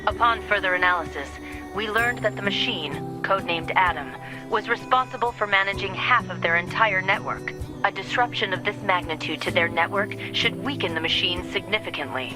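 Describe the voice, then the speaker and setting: A woman playing a cool, authoritative military commander in a video game, giving a formal, measured briefing. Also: medium pitch, music in the background, natural voice, low-quality recording. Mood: brisk, official. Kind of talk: video game cutscene acting.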